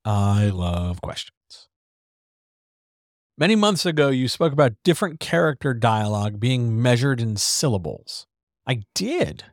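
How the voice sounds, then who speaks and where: A man hosting a casual writers' chat, explaining craft in a loose, conversational way full of asides and fillers. His voice sits at an everyday middle pitch. The sound is dry and close, with no room around it. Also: big pitch swings, measured pace, no echo. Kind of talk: casual live-stream teaching chat.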